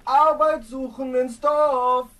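An actor playing a lazy, naive young peasant son in a fairy-tale audio drama, with a carefree, drawling delivery. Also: male voice, extremely high pitch, steady tone, takes it slowly, very noisy.

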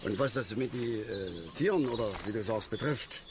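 An actor playing an opinionated, grumbling middle-aged angler in a radio play, blunt and colloquial, muttering in short clipped phrases. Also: male voice, lowish voice, moderate pace, lightly acted. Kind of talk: naturalistic German radio play acting. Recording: noisy outdoor ambience with birds. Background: birds and outdoor nature sounds.

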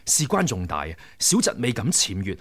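A man's voice: on the low side, huge pitch swings, moderate pace, fairly bright tone, heavily acted. A man with a Hong Kong Cantonese accent playing a modest young rebel leader in a radio drama, deferential and a little hesitant. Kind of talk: 1990s radio drama acting.

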